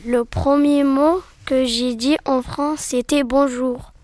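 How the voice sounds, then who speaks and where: A teenage girl from Algeria reading her own memories aloud, slowly and carefully. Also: sits high, fairly even intonation, loud music behind the voice. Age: teens. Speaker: student reading her text. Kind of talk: read-aloud personal account.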